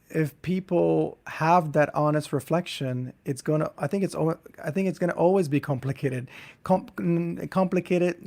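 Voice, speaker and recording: A man hosting a casual interview, speaking reflectively and haltingly, searching for words in an intellectual way and repeating himself. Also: a little high, warm tone. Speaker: interviewer and host.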